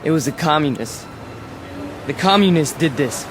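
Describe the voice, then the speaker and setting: A man's voice: fairly high pitch, lively intonation, normal speed, background noise, close to an everyday voice. An actor playing a bitter, proud young male street agitator in a radio drama, intense and brooding. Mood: defiant. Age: young adult.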